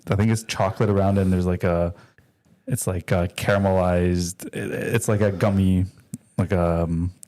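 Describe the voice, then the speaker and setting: A man's voice: low-pitched, steady tone, moderate pace, dark tone. A man, a guest in a casual chat, talking in a rambling, playful way.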